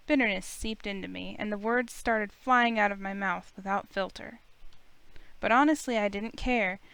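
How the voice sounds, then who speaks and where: A woman voicing a grieving high school heroine, bitter yet earnest, in visual novel narration and dialogue. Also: fairly bright tone, clean tone, no echo, close to an everyday voice.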